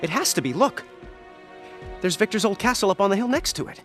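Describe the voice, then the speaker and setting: A man's voice: high-pitched, big pitch swings, brisk, fairly bright tone, faint music behind. A man voicing a young, skeptical sidekick in a video game, wry and plain-spoken. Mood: insistent.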